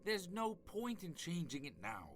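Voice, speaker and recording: An actor playing an ancient, haughty afterlife judge in an audio comedy drama, dry, sarcastic and impatient. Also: male voice, high-pitched, sing-song, a little slow, noisy background. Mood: irritated.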